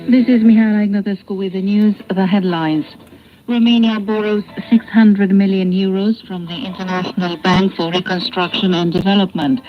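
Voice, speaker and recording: A woman radio announcer and newsreader delivering scripted international broadcast copy in a formal, measured way. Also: lowish voice. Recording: dull shortwave radio broadcast recording.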